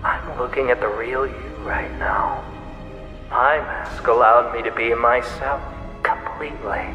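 An actor playing an unhinged, bitter, obsessive serial killer in an audio drama, halting and menacing, moving between breathy hush and outbursts. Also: male voice, mid-range voice, fairly even intonation, muffled recording, close to an everyday voice. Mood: admiring, creepy. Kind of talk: theatrical audio drama monologue. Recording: echoey reverberant hall, faint music behind.

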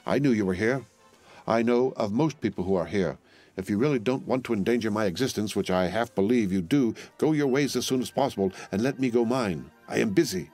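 A man's voice: fairly low pitch, fairly quick, a little mellow, faint music behind, close to an everyday voice. A man voicing a vexed, furtive, self-important Englishman in a storybook, abrupt and irritable. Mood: impatient.

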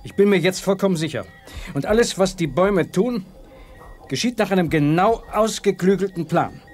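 An actor playing an earnest professor in a science-fiction audio drama, explaining things emphatically. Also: male voice, high-pitched, expressive, moderate pace, a little mellow, faint music behind. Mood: urgent explaining. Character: earnest male professor, expedition's scientific mind.

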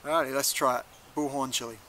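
A man's voice: medium pitch, steady tone, quick pace, bright, forceful tone, slight background noise. A young man giving a casual, laid-back review to camera in a conversational way. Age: young adult. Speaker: chilli reviewer, video host. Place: outdoors in a leafy garden.

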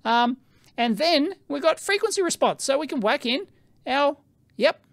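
A middle-aged man with an Australian accent, reviewing gear in a casual, excitable way, thinking aloud and laughing at himself in his electronics lab on a studio microphone. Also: very high-pitched, a little slow, vocal fry, dry recording. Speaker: electronics video host. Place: cluttered electronics lab indoors. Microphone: studio microphone on a stand.

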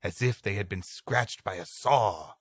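A man's voice: fairly low pitch, a little slow, husky, no echo, clean studio sound. A man co-hosting a comedy podcast, reading an old story dramatically and trading comic banter.

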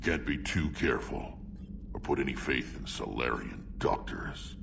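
An actor voicing a huge, gruff alien warrior in a video game, wary and protective, with a gravelly rumble and blunt, menacing manner. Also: male voice, lively intonation, measured pace, exaggerated voice. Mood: suspicious.

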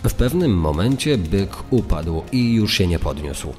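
A deep-voiced man narrates a true crime script gravely. Long reverb surrounds the voice, with faint music underneath. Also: big pitch swings, a little slow, a little mellow.

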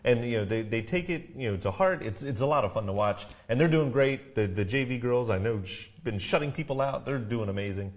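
An American man hosts a local TV show in a casual studio chat. He talks fast and keeps his pitch moving, with an upbeat, chatty manner. He walks viewers through things step by step. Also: lively intonation. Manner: upbeat, quick and chatty, guiding a website tour. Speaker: local TV host.

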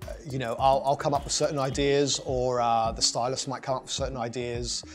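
A man's voice: mid-range voice, level tone, fairly quick, soft background music. A middle-aged man, a hairstylist, talking enthusiastically with many fillers in a seated interview in a living room. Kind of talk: sit-down interview.

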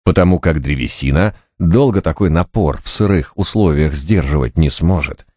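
A man reading fiction as an audiobook narrator, with an expressive, wry, dramatic delivery. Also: extremely low pitch, moderate pace, no echo, studio quality. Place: studio, dry and close.

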